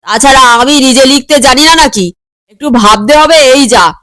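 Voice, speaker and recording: A woman's voice: high-pitched, normal speed, sharp tone, dry recording. A woman voicing a boastful, self-important schoolboy with a pompous, bragging manner in a comic audio story. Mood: boastful, dismissive.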